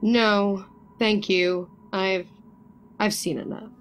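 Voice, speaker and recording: A woman's voice: medium pitch, steady tone, takes it slowly, heavy background noise, close to an everyday voice. An actress as guarded, lovelorn young woman. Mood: hurt, resigned. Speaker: actor in an audio drama. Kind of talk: audio drama dialogue.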